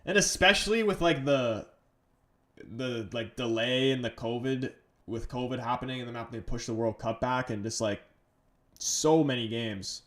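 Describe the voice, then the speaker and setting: A man's voice: medium pitch, moderate pace, a bit bright. A man with an English accent hosting a casual live stream, chatting through a football match and reading out viewer comments. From England. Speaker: livestream host.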